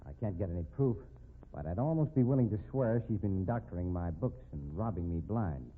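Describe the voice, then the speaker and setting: Shrewd male businessman actor role, vintage radio drama: very low voice, wide inflection, dull noisy recording. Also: animated, fairly quick, close to an everyday voice. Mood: feigned worry. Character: calm, shrewd male businessman helping blackmail victim. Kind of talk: 1950s radio drama acting.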